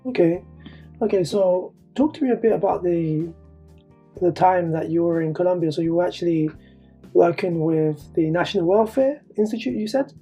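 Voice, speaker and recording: A man hosting a podcast interview, asking questions in a relaxed, encouraging way. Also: high-pitched, normal speed, husky, soft background music. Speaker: podcast host. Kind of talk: casual interview.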